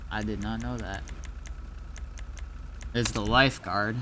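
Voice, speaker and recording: A man's voice: on the low side, fairly even intonation, slow, noisy background. A man giving casual gameplay commentary as a streamer, muttering to himself as he thinks aloud. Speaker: gaming streamer.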